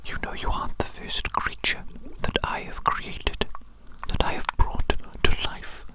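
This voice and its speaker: A performer voicing an evil doctor who dotes on their creations, in a slow, breathy ASMR roleplay whisper with lingering, repeated phrases. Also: natural voice. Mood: boastful, hushed. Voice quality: breathy unvoiced whisper throughout. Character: evil mad scientist doctor, doting and sinister creator of monsters.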